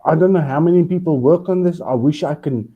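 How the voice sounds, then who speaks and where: A man speaking as a guest on a group call, enthusiastic but halting, full of fillers. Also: on the high side, husky. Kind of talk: casual group call chat. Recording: dull, muffled call audio.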